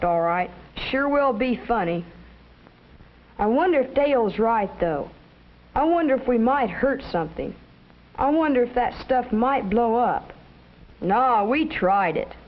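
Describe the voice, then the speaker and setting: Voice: medium pitch, close to an everyday voice. A young performer playing a pushy schoolboy ringleader with slangy bravado in a 1950s educational film. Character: cocky scheming schoolboy ringleader, pushy with friends. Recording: dull vintage 1950s film soundtrack.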